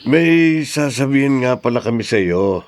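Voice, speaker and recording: Earnest, lively-pitched actor as a protective friend. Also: male voice, normal speed, no echo, natural voice. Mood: earnest reasoning. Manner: earnest, questioning. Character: Desto, concerned, reasoning, protective male friend. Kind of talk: Tagalog radio drama acting.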